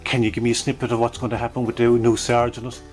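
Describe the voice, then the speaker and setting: A man's voice: mid-range voice, little pitch movement, fast, darkish tone, faint music behind. A man with an Irish accent asking questions in an informal radio interview.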